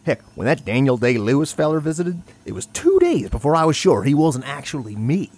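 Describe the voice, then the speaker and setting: An actor playing a brash, boastful Southern gambler with a Southern US accent and folksy sayings. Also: male voice, on the high side, big pitch swings, fairly quick, darkish tone, husky. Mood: wry. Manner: whooping, folksy drawling sayings.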